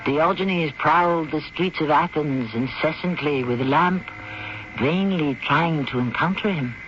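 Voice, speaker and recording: A man's voice: fairly high pitch, background noise. Wry male radio mystery host, dull broadcast sound. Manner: wry, philosophical, measured rhetoric. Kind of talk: theatrical scripted radio narration.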